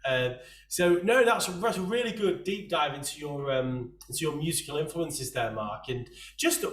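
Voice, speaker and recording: Soft-voiced chatty male host, echoey. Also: a little high, expressive, moderate pace, distant mic. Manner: chatty, rambling, many restarts. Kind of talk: casual chat.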